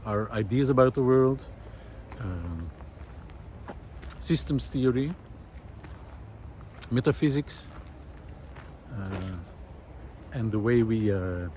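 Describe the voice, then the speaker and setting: A middle-aged man musing aloud in a reflective, hesitant monologue with frequent pauses, recorded while walking outdoors. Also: on the low side, warm tone. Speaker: visual artist and vlog host. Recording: outdoor ambient noise.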